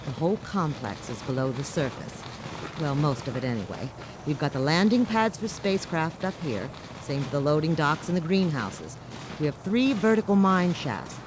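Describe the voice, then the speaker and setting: A woman's voice: deep voice, sing-song, moderate pace. Casting: hard-bitten female mining boss, swooping delivery, blunt and slangy, sci-fi radio play over noisy ambience. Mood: businesslike. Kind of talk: science fiction radio drama acting.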